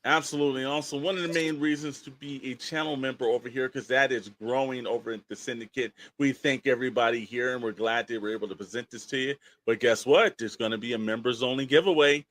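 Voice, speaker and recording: A man's voice: mid-range voice, a bit bright, dry recording, poor audio. A man with a mid-range voice co-hosts a show in loose, casual talk. He is colloquial and emphatic, speaking straight to the listeners. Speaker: podcast co-host. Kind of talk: casual chat.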